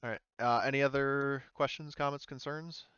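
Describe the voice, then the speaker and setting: A man's voice: mid-range voice, steady tone, moderate pace, a bit bright, smooth, no room sound, highs cut off. A man speaking informally as game master, chatting through a tabletop session in a loose, hesitant way. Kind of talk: casual tabletop game chat.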